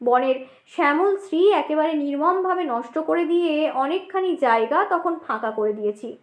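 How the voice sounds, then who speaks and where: A woman's voice: sits high, lively intonation, moderate pace. A woman narrating a scripted ghost story as a dramatic storyteller, with roomy echo on her voice.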